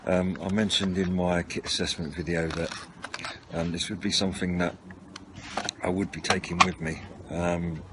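A man's voice: low voice, flat delivery, normal speed. A man giving an informal, slightly rambling and hesitant run-through to camera, recorded outdoors at a table on a camera mic. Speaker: video channel host. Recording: noisy outdoor home recording.

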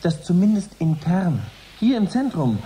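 Ein Schauspieler spielt in einem Hörspiel einen höflichen, skeptisch nachfragenden Interviewer, der förmlich spricht. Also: male voice, high voice, sing-song, unhurried, dark tone, breathy edge, heavily acted, poor audio. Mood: probing. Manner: formal, sceptical questioning. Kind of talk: radio drama interview.

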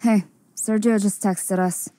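A woman's voice: medium pitch, fairly even intonation, a little slow, dark tone, lightly acted. An actress playing a wry, cool young woman, a getaway driver, in a video game cutscene. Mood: dry, casual. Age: young adult.

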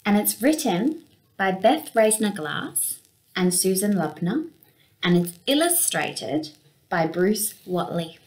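A young woman reading a picture book aloud for children in an expressive storytelling manner. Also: mid-range voice, slow pace, poor audio. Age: young adult. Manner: expressive, with acted-out sound words. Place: small indoor room with window blinds.

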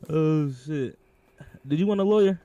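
A man's voice: on the high side, normal speed, dark tone, dull audio. A man improvising game roleplay as a police interrogator, talking in casual slang in a laid-back, repetitive way. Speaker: role-player acting as police interrogator.

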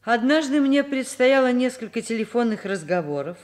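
A woman's voice: a little high, expressive, moderate pace, a little mellow, faint music behind, close to an everyday voice. An actress playing a kindly, wry, educated woman professor in a Soviet radio drama, speaking thoughtfully and expressively. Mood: reflective, wry. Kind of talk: Soviet radio drama acting, first-person narration and dialogue.

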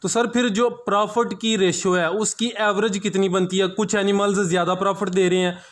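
A man conducts an interview. He is polite and deferential, pausing hesitantly as he puts his questions. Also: high voice, level tone. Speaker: interviewer, channel host. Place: cattle farm.

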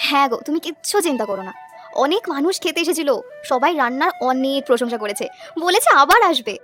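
Woman voicing a very high-pitched cheerful young village wife. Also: animated, fast talker, fairly bright tone, faint music behind. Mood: reassuring. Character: hardworking cheerful young village wife running a food stall. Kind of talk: cartoon dubbing.

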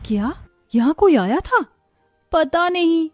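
A woman voicing a poor young village sister, earnest and expressive, in an animated moral story. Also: extremely high pitch, slow, no room sound, studio quality, soft background music, exaggerated voice. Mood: shocked, worried.

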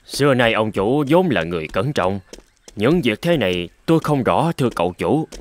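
A man's voice: on the low side, very animated, normal speed. A man voicing a humble, deferential old servant in a cartoon, speaking politely and respectfully. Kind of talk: dubbed cartoon storytelling. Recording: loud music under the voice.

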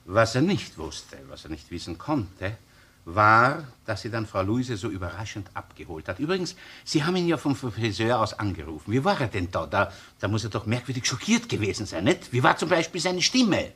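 A man's voice: lowish voice, big pitch swings, moderate pace, fairly bright tone, husky, full character voice. An actor playing a wily, insistent Austrian police chief inspector in a crime drama, needling and sarcastic as he interrogates. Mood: pressing. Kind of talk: crime radio or TV drama acting.

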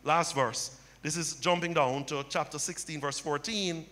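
Impassioned preacher man, bright high voice. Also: steady tone, a little slow. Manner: impassioned preaching, rising to loud cries. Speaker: pastor. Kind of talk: sermon. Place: church stage with audience. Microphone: handheld mic. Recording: long hall reverb.

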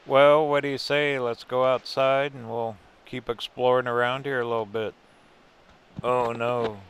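A man's voice: mid-range voice, little pitch movement, unhurried, a bit bright, slight background noise. An older man narrating a casual walk through a museum as a travel vlogger, easygoing and wry with chuckling asides. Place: indoor museum buildings.

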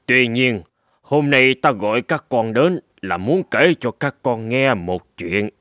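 Stern old swordmaster, lively intonation. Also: male voice, medium pitch, slow pace, no echo, clean studio sound. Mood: solemn. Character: stern, measured old swordmaster, father and teacher. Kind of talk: cartoon fairy-tale dubbing.

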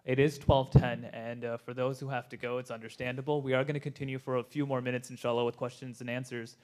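Event announcer, a man with a mid-pitched voice, plain and matter-of-fact, off-mic. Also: flat delivery, fast talker, darkish tone.

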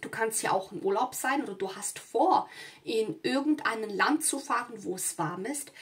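A woman's voice: on the high side, normal speed. A woman from southern Europe talking casually to viewers as a tarot reader, often checking in with small tag words as she goes. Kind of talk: casual spoken card reading to viewers.

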